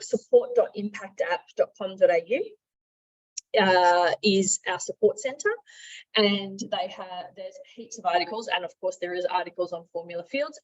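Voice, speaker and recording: A woman with an Australian accent giving an informal, conversational software walkthrough as a company trainer, explaining each step as she goes. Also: mid-range voice, muffled recording. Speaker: sales and marketing director giving software training. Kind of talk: instructional screen-share walkthrough, conversational.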